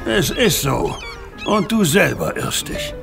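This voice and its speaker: An actor playing a gruff, curt farmer father with a brusque, dismissive way of talking in an audio drama. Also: high voice, very animated, slow pace, music in the background, heavily acted. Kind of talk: German audio drama dialogue.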